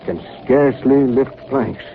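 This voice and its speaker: An actor in a 1930s radio drama playing a stern, driven ship's captain, terse and commanding. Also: male voice, medium pitch. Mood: grim, weary. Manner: slow, terse, clipped phrases, commanding. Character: stern, driven ship's captain keeping a grim survival diary. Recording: muffled phone-like, clearly noisy 1938 radio recording.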